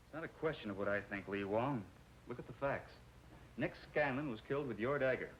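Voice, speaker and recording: An actor playing a matter-of-fact frontier deputy in an old television western, brisk and logical. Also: male voice, medium pitch, natural voice. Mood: firm. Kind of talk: 1950s television western acting. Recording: muffled old TV soundtrack, faint noise.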